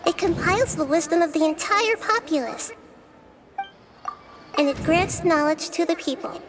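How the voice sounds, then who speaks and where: A woman voicing a small, girlish deity in a video game, a wise and gently playful teacher with a measured, explanatory way of talking. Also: very high-pitched, noisy background. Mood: instructive. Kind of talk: video game cutscene acting.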